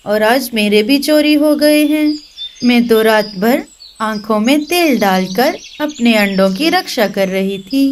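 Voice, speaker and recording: Woman playing a high, plaintive mother bird. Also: a little hiss or hum, lightly acted. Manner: plaintive, pleading. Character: distressed mother bird, tearful and bewildered. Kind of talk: children's cartoon dialogue. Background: music.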